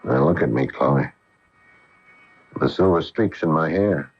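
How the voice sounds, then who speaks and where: An actor playing a grave middle-aged astronaut husband in a 1980 radio drama, speaking deliberately and earnestly. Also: male voice, very deep voice, breathy edge, heavily acted. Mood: earnest, explaining. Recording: muffled old AM radio broadcast.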